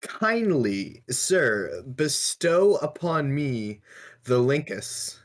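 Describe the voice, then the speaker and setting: Dude co-hosting a casual stream, talks slow, mid pitch, goofy fancy phrasing. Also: male voice, very animated. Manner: playful mock-formal phrasing. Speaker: podcast co-host. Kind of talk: casual chat.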